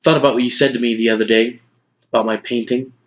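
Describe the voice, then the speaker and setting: A man playing a calm, quietly cutting older mentor in a measured dramatic monologue. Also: medium pitch, voice pushed down, natural voice. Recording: dull lo-fi audio.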